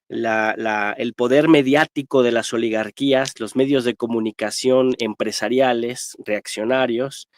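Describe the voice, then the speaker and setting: A man with a Mexican accent and a mid-pitched voice offers spontaneous political analysis. His delivery is emphatic and militant, peppered with tag questions. The sound is very dry, with no room echo. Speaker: guest historian and political analyst.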